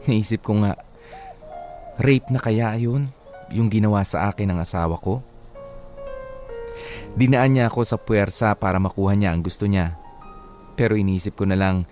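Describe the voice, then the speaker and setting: A man's voice: fairly low pitch, moderate pace, long pauses, dark tone, faint music behind. A man with a Filipino accent narrating a first-person story as a radio drama host reading a letter.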